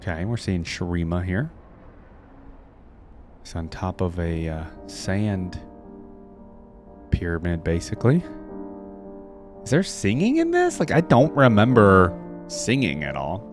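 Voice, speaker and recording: A man's voice: deep voice, wildly swooping pitch, measured pace, pauses often, faint music behind. A man hosting a reaction video, giving casual off-the-cuff commentary and musing aloud in short remarks.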